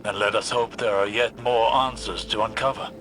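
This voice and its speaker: An actor playing a grave, world-weary older mentor in a video game, speaking in a measured, philosophical way. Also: male voice, on the low side, fairly even intonation, noisy background, lightly acted. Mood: solemn, rueful. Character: grave, contemplative, world-weary male scholar-warrior mentor. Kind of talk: video game dialogue acting.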